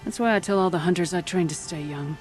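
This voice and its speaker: An actress playing a seasoned older huntress who trains hunters, wry and blunt, in video game dialogue. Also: female voice, fairly low pitch, quick pace, darkish tone, soft background music, lightly acted. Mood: wry, proud. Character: seasoned old huntress, wry trial keeper.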